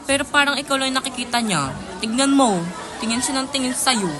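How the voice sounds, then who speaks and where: A woman's voice: high voice, a little fast, crowd noise, close to an everyday voice. A woman playing a chatty, loyal teenage schoolgirl sidekick in an amateur radio drama, slangy and teasing.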